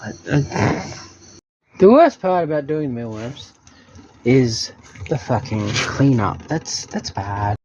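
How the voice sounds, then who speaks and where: A man's voice: mid-range voice, animated, slow pace, a little mellow, slight background noise, rough recording. A man vlogging his day with chatty, self-mocking narration into a handheld phone camera. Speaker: homesteading vlogger. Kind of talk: casual self-filmed vlog narration.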